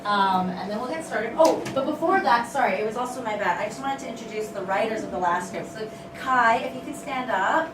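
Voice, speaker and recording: A woman's voice: a little high, animated, fairly quick, few pauses, background noise. A woman hosting a group event, announcing informally in a casual, hesitant, apologetic way. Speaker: host of a script table read. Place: large indoor room at a live event. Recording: echoey large room, distant mic, loud crowd chatter. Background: loud crowd chatter.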